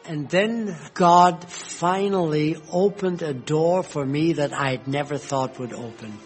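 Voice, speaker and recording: Slow-spoken older man reminiscing. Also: a little high, faint music behind. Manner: slow, gentle storytelling with fillers. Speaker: retired missionary. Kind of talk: reminiscing personal history.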